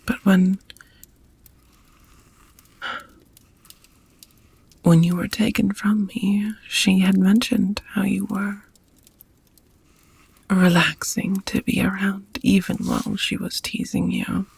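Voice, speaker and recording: Breathy, whispering vampire girlfriend, acted by a woman. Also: mid-range voice, heavily acted. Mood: earnest, fond. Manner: slow, intimate, slightly formal phrasing. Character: affectionate centuries-worn female vampire lover, languid and doting. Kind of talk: ASMR romantic roleplay. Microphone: close microphone, dry.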